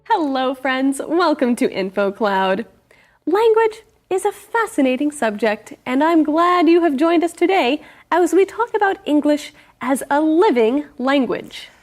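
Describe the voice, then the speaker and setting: Woman presenter, high voice, scripted read. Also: sing-song, measured pace, faint music behind. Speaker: segment presenter. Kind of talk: scripted narration.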